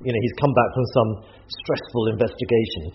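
A man's voice: mid-range voice, fairly quick, lo-fi sound, a little hiss or hum. An English man, an author, talking enthusiastically and chattily while cooking in a home kitchen. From England. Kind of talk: casual interview talk while cooking.

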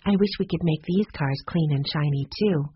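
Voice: medium pitch, normal speed, lo-fi sound, a little hiss or hum, natural voice. A narrator voicing an observant, practical girl in a children's mystery audiobook, in a matter-of-fact manner. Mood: curious.

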